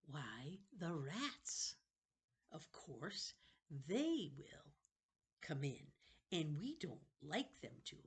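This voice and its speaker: A woman voicing an incredibly old, stooped male librarian in a children's storybook, halting and coaxing as he speaks. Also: sits low, very animated, slightly rough, full character voice. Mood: eerie. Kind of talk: children's novel read aloud.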